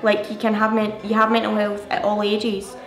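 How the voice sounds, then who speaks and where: A woman's voice: mid-range voice, completely flat delivery, moderate pace, a crowd in the background. Frank eighteen-year-old woman. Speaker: young volunteer. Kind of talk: interview talk. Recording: roomy sound over soft music.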